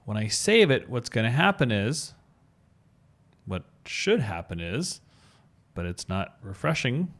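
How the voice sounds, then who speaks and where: Man teaching code with lively, swinging pitch. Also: unhurried, pauses often. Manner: thinks aloud, spells out code terms step by step. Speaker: web design tutorial presenter. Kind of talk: informal screencast coding tutorial.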